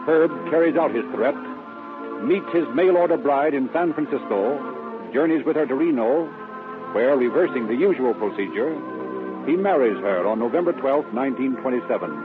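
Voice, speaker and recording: A man's voice: medium pitch, expressive, normal speed. A man announcing and narrating a radio drama in scripted 1930s broadcast style. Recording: tinny vintage radio recording, music behind. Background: music.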